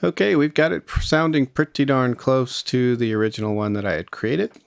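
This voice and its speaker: A man narrating a casual, step-by-step music software tutorial in an informal, explanatory way. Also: mid-range voice, moderate pace, vocal fry, dry recording, studio quality. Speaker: music production tutorial host.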